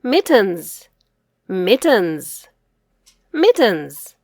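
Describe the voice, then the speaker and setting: A middle-aged woman reading aloud to children as an English teacher, enunciating each phrase clearly. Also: mid-range voice, big pitch swings, drawn-out words, a little mellow, no room sound, studio quality. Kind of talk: children's read-aloud lesson.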